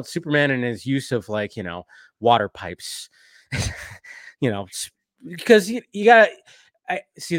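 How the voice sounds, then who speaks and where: A man's voice: mid-range voice, fairly bright tone, dry recording, clean studio sound. A man co-hosting a casual recap and commentary stream, rambling along with lots of filler phrases as he retells scenes. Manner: rambling, peppered with "like" and "you know". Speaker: co-host of a cartoon episode review stream.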